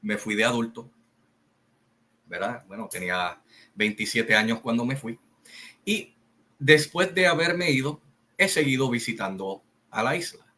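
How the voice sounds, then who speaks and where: Middle-aged man, slow deliberate delivery, bright edge, solo commentary host. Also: mid-range voice, expressive. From Puerto Rico. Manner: slow, deliberate, repetitive, with many pauses and fillers. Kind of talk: opinionated monologue to camera.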